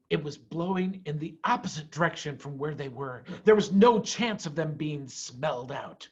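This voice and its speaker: A man giving an expressive, dramatic reading of a children's story, with deliberate phrasing. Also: fairly high pitch, husky, muffled recording. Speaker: head of school reading to pupils.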